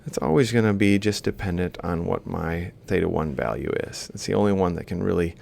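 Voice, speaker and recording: A man's voice: deep voice, a little fast, creaky, darkish tone, a little hiss or hum. A man lecturing informally, explaining a worked example step by step as he writes. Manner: explains step by step while writing. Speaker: lecturer in robotics.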